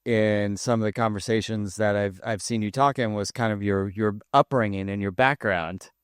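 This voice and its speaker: Low smooth-voiced interviewer man. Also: steady tone, normal speed, dry recording, clean studio sound. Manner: hesitant, casual questioning. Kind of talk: on-stage interview. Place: event stage with audience.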